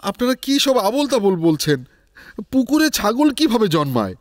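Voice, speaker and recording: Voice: medium pitch, very animated, brisk, dry recording, clean studio sound, natural voice. A voice actor playing a poor, wronged male goat owner from a village in a cartoon, plaintive and protesting. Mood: pleading, baffled. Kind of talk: cartoon dialogue. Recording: music bed under the voice. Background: music.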